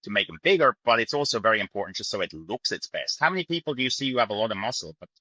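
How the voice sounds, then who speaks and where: A man with a mixed, Irish-influenced accent talks as an interview guest in a low, strikingly bright and forceful voice. He explains earnestly and with emphasis, in a conversational style, recorded very dry and close. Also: big pitch swings, racing, no echo. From Ireland. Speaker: podcast guest, bodybuilding coach.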